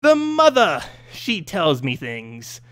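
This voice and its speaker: A man's voice: on the high side, huge pitch swings, slow, fairly bright tone, exaggerated voice. A man voicing a small, nervous, greedy demon from a fantasy story, shifty and self-important. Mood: eager, sly. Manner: shifty, wheedling, boastful.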